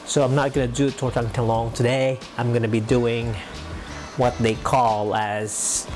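A man's voice: mid-range voice, flat delivery, a little slow, music in the background. A young man with a Filipino accent chatting casually to the camera while he cooks in his home kitchen, making joking asides. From Philippines. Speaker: vlogger. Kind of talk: casual chat while cooking.

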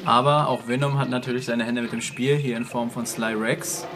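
Matter of fact guy w some vocal fry explaining an old cartoon, music behind. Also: mid-range voice, fairly even intonation, moderate pace. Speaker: co-commentator on a retro cartoon. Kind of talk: explanatory episode commentary. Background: cartoon soundtrack and music.

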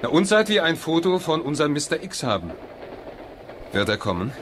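An actor playing a sober, sceptical lawyer in a German radio crime drama. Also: male voice, on the high side, very animated, fairly quick, a bit bright. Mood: hopeful. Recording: noisy background ambience.

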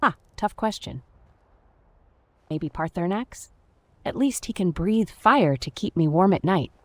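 A woman's voice: lowish voice, lively intonation, measured pace, dry recording. A woman voicing a flirtatious, teasing female companion adventurer in a game, full of pet names. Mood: playful. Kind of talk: game character dialogue. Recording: game music underneath. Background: game music.

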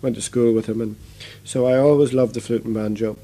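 A man talking about his music in a relaxed interview. His voice is mellow and dark. He rambles, with plenty of fillers and restarts. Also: mid-range voice, brisk. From Ireland. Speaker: guest musician. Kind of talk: casual interview chat.

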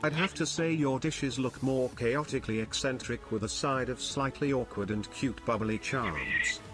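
A man's voice: mid-range voice, normal speed, music in the background. A man's synthetic text-to-speech voice reading out written viewer messages. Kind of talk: read-aloud viewer message.

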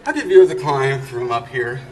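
A man's voice: medium pitch, very animated, a little fast. A man chatting casually and good-humoredly as he narrates a sightseeing climb to his handheld camera, speaking while exerting himself. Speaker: amateur vlogger filming a sightseeing climb. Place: inside a narrow brick lighthouse stairwell. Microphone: handheld camera held by the speaker. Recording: echoey stairwell, long reverb, clear noise.

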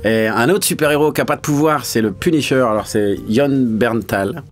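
A man's voice: mid-range voice, moderate pace, faint music behind. A man, a voice actor talking casually in an interview, colloquial and searching for his words. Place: small recording studio.

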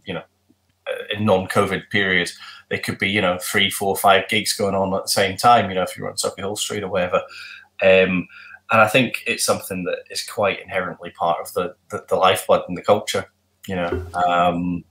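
Casting: middle-aged male musician, low voice, rambling chatty answers over webcam. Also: pushed and bright, low-quality recording. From not from Glasgow. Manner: chatty, rambling, lots of ums and restarts. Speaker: musician guest. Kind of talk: casual video call interview. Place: home room with guitars. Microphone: webcam on video call.

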